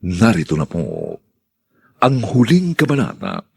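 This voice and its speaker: A man hosting a radio drama, reading scripted announcements in a formal, theatrical manner with drawn-out phrases. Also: low voice, huge pitch swings, gravelly, husky, no echo, studio quality.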